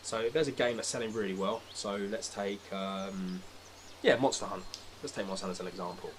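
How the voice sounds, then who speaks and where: Background noise runs clearly under a man with a fairly low voice. He is a podcast guest giving casual opinions, exasperated and quick to swear.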